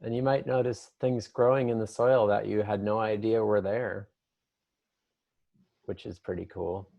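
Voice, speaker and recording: A man leading an improvised, conversational guided meditation in a gentle, unhurried manner with long pauses. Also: lowish voice, steady tone, creaky, narrow, tinny audio.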